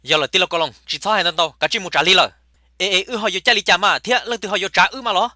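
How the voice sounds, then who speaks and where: Man voicing a high-pitched, fast-talking cartoon character. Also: pushed and bright, no room sound, clean studio sound. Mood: chatty. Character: talkative male comedy cartoon character. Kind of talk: comic cartoon dialogue. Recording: clear background noise.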